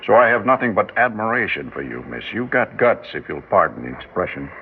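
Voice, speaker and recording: An actor playing a blunt, street-wise New York police detective in a 1950s radio drama. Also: male voice, fairly low pitch, big pitch swings, a little fast, slight background noise. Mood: reassuring. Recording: dull lo-fi old radio recording.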